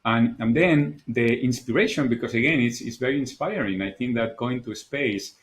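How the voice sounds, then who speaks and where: A man's voice: medium pitch, normal speed. A middle-aged Spanish man with a Spanish accent, speaking as a guest in a casual podcast interview, earnest and thoughtful, sometimes repeating words as he searches for them. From Spain. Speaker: guest, architect and designer.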